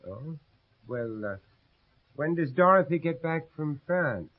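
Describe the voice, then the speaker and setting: An older actor playing an earnest, haunted, courteous American gentleman in a radio drama, speaking in a measured, brooding way. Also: on the high side, lively intonation. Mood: polite, probing. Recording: dull 1970s radio drama recording.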